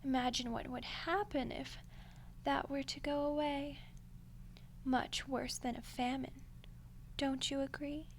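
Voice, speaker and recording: A woman playing a smug, condescending female archdemon in a soft-spoken ASMR roleplay, unhurried and menacingly polite. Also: high voice, fairly even intonation, slight background noise. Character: ancient female archdemon, smug, coolly seductive deal-maker.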